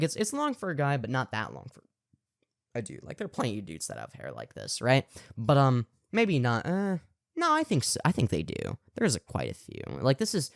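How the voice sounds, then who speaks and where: Smooth-voiced young man, rambling contrarian podcast chat. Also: mid-range voice, no room sound, clean studio sound. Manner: rambling and contrarian, swears freely, many fillers and restarts. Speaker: podcast co-host.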